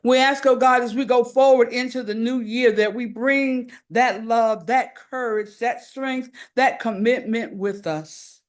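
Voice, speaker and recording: A woman pastor leading an opening prayer at a council meeting, in a measured, repetitive cadence. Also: on the high side. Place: city council chamber.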